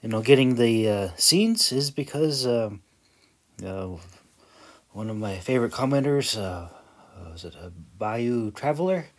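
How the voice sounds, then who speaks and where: A man's voice: mid-range voice, lively intonation, unhurried. A man giving a casual, rambling vlog voice-over in a hesitant, self-deprecating, chuckling way. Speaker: video creator and hobby audiobook narrator.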